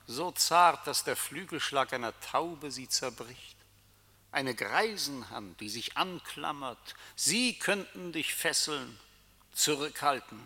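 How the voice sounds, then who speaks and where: Death as a very slow, solemn presence with a hard, piercing edge. He coaxes and taunts in measured rhetorical phrases, his words ringing through long reverb, as in a classic radio play. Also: medium pitch, bright, forceful tone, full character voice. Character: the voice of Death, male, commanding, coaxing and taunting. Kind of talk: theatrical radio drama acting.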